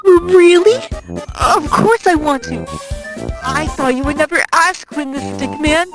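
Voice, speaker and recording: A woman's voice: high-pitched, a little slow, over music. A woman voicing a sweet, eager girl in love in a comic dub. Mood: delighted.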